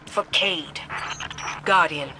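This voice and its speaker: A guarded, resolute warrior guide in a video game. Her voice is fairly low, grave and authoritative, with clipped phrases over clear ambient noise. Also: very slow. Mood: solemn. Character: resolute female military guide, guarded and solemn. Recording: noisy ambient background.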